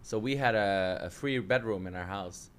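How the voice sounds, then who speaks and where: A Dutch man in his thirties, his English carrying a Dutch accent and his voice low and smooth. He tells his story in a relaxed, chatty way, full of fillers and restarts, like a coach at ease in an interview. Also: normal speed. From Netherlands. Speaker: jiu-jitsu coach and interview guest.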